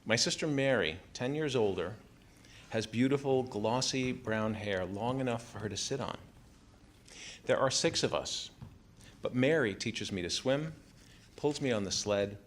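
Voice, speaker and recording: A man in his fifties with an American accent reads a scripted first-person story live in a theater, in a measured storytelling way. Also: fairly low pitch, animated. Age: 50s. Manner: measured storytelling delivery, acting out quoted family dialogue. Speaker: writer reading his own personal essay. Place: small theater stage before a live audience. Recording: live theater recording with background noise. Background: room noise and ambience.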